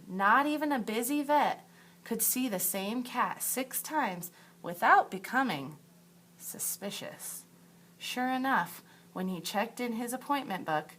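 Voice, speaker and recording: Slow-paced woman teacher reading a storybook aloud. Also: medium pitch, a bit bright. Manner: deliberate, emphatic storytelling with asides to young pupils. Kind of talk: expressive picture-book read-aloud for second graders.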